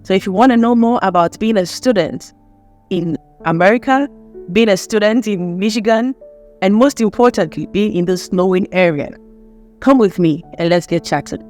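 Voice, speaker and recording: A woman hosting a casual interview chat. She talks in a lively way, laughs often and asks long, rambling questions. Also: medium pitch, normal speed, faint music behind. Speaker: host.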